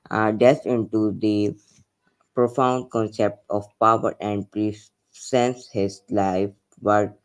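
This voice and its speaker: Polite, flat-toned host from Pakistan. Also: male voice, lowish voice, smooth. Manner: polite, halting, non-native phrasing. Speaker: interview host. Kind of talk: formal interview hosting.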